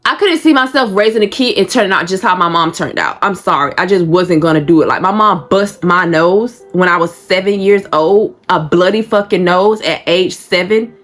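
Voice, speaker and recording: A woman's voice: lowish voice, lively intonation, normal speed, hardly any pauses, fairly bright tone, faint music behind. A woman in her thirties telling a painful personal story in a blunt, emotional way, swearing freely. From Georgia, USA. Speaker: vlogger.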